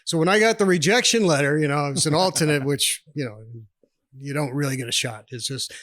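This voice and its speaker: A man's voice: on the high side, fast talker, fairly bright tone, no echo. A man in his sixties from New York State, a retired army officer telling stories as a podcast guest in a chatty, rambling way, laughing often. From New York State, USA. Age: 60s. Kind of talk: casual storytelling interview.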